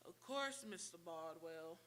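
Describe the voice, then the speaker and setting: A woman playing a stern, formal courtroom judge in a live radio comedy, speaking deliberately. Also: on the low side, expressive, a bit bright. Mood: brisk, official. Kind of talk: live old-time radio comedy acting. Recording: very noisy live stage pickup.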